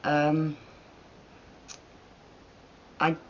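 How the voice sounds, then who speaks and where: Host in their 30s with a mixed northern/southern English accent, rambling, chatty, quick to laugh. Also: medium pitch, monotone, slight background noise. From northern England. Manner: chatty and rambling, laughs often, lots of fillers. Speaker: channel host answering viewer questions. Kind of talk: casual Q&A chat.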